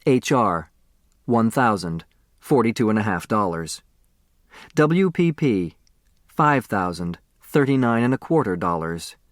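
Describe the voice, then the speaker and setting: A man reading a children's novel aloud as expressive scripted narration. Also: fairly low pitch, measured pace, dry recording, clean studio sound. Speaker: audiobook narrator.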